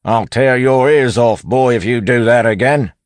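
A man voicing a cocky, brash lawman who talks in a forceful, folksy, bullying way, as in an audiobook. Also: deep voice, sing-song, a little slow, no echo, pitched above the performer's own voice, full character voice. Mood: angry, bellowing. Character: cocky, brash lawman bluffing in a stern constable voice. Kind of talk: audiobook character acting.

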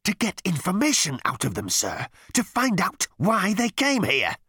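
A shrill, squealing officer bursting with fury, his voice leaping high and sharp. The sound is close and dry. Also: huge pitch swings, normal speed, breathy edge, no room sound, full character voice. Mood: shrill furious. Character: shrieking fanatical male officer, petty and furious.

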